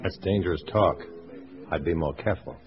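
Man as a deep-voiced, hardboiled undercover agent. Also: a little fast, crowd noise, natural voice. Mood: stern, guarded. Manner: terse, hardboiled. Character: tough, guarded undercover agent posing as communist. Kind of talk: old-time radio detective drama with first-person narration. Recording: muffled old radio recording with music.

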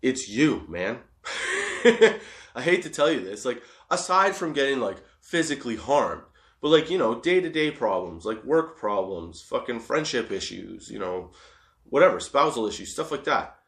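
A man's voice: mid-range voice, big pitch swings, normal speed, fairly bright tone. Profane, rambling stoner man in echoey room. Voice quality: profane, laughs often. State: high on cannabis. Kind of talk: rambling casual monologue.

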